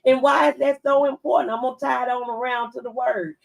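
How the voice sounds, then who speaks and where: A woman's voice: sits high, little pitch movement, fairly quick, vocal fry, distant mic, highs cut off. A woman on a radio talk show, sharing folksy advice through simple analogies. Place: radio studio.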